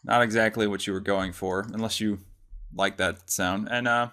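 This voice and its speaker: A man presenting a casual software tutorial, explaining step by step in an informal way. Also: on the low side, steady tone, moderate pace, slight background noise.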